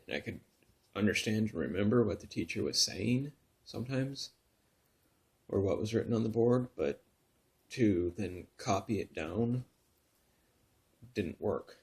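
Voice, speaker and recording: Soft-spoken, halting man in his thirties. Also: on the low side, animated, gravelly, slightly rough. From Northern California. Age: 30s. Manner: soft-spoken, halting, many pauses and restarts, drops to near whisper. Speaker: vlogger telling his story. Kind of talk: personal confessional vlog.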